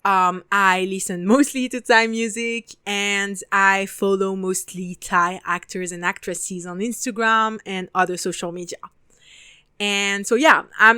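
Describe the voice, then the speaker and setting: French woman, 20s, French accent, talkative podcast host; smooth, crisp voice at a mid pitch, dry close recording. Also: pushed and bright, no echo. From France (Paris). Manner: chatty and talkative, casual swearing, frequent laughter. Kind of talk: casual solo podcast monologue.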